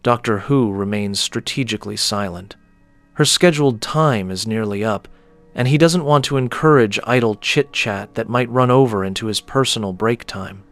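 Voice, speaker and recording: A man narrating a scripted audio story in a measured, descriptive manner. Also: lowish voice, a bit bright, dry recording, faint music behind.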